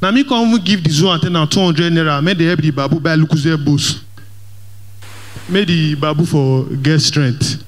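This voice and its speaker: Pidgin-accented young comedian on stage. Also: male voice, on the high side, moderate pace. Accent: Nigerian Pidgin English. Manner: lively, mixes Pidgin and English, plays to the crowd. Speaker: stand-up comedian. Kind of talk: stand-up comedy. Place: large church hall stage. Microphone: handheld microphone.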